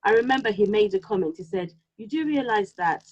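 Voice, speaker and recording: A woman whose home tongue is Yoruba, chatting casually and reflectively, halting now and then as she thinks aloud. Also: medium pitch, animated, a little mellow. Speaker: podcast co-host. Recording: slightly dull, lo-fi sound.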